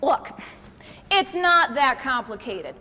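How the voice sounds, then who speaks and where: A woman's voice: high voice, very animated, takes it slowly, sharp tone, exaggerated voice. A woman playing an officious, exasperated idea come to life, quoting rules in an indignant, theatrical way in a comic stage play. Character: personified idea, officious, exasperated, rule-quoting female messenger. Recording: echoey stage hall, clear background noise.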